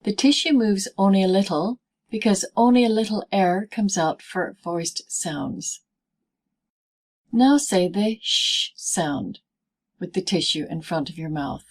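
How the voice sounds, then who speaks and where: A woman presenting a scripted pronunciation lesson as a teacher, speaking in deliberate, clearly segmented phrases. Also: medium pitch. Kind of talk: scripted instructional narration in easy English.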